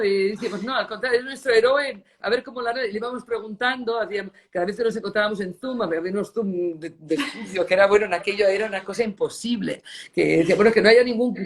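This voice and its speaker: A middle-aged woman from Spain, speaking in a reflective interview and often pausing to search for words, with Italian words slipping in. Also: mid-range voice. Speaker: designer interviewee.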